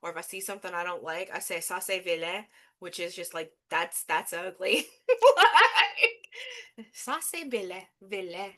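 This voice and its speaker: Bright-voiced Louisiana woman, chatty. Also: fairly low pitch, unhurried. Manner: animated, swears freely, laughs often. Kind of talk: casual chat.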